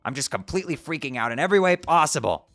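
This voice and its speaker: A man voicing an abrasive teenage troll boy who yells insults and swears constantly. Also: medium pitch, lively intonation, moderate pace, no echo, faint music behind. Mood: upset. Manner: yelling, profane, insulting. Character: furious shouting teen troll boy, abrasive. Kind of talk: fan dub of a chat comic.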